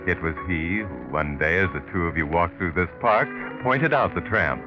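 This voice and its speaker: Casting: sinister 1940s radio mystery narrator, deep voice, sardonic, needling, insinuating, over music. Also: male voice, lively intonation, dull audio. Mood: knowing, sardonic. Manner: taunting, insinuating, deliberate rhetorical questions. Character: sardonic, ominous male narrator taunting a would-be murderer.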